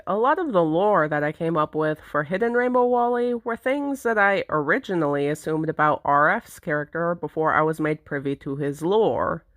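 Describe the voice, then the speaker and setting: A woman narrating a script to viewers in a conversational, theatrical way with deliberate emphasis. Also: low voice, fairly bright tone, dry recording, clean studio sound. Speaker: narrator presenting own fan project.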